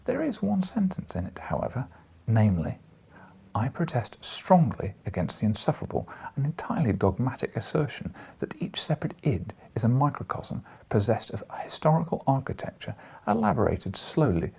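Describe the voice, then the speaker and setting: A man voicing a formal, flattering letter read aloud in an audiobook, pompous and deferential. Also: medium pitch, fairly quick, gravelly, husky, exaggerated voice. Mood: pompous flattery. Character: formal male letter-writer, flattering, earnest, quietly challenging.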